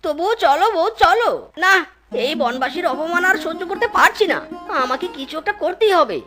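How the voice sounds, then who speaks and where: Casting: whiny, childish, scheming little husband for a cartoon, extremely high and wildly swooping. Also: female voice, lively intonation, moderate pace. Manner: exclamatory, whining, pleading. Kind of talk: animated children's cartoon dialogue. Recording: loud music behind the voice. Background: music.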